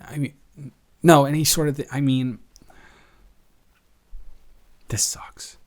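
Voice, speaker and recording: A man plays a young male roommate in an intimate audio roleplay. He is affectionate and teasing, easily flustered, and talks in a halting, natural way, often correcting himself. His pitch sits in an ordinary male range. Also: little pitch movement, lightly acted. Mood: earnest, exasperated. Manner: halting, conversational, self-correcting. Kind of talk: improvised intimate boyfriend-style audio roleplay.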